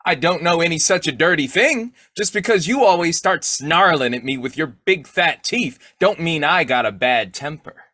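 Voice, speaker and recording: A man playing a blustery, boyish, quick-tempered bumbling husband in old-time radio comedy, with a folksy, slangy, argumentative way of talking. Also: fairly high pitch, animated, pushed and bright, dry recording, studio quality. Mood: indignant.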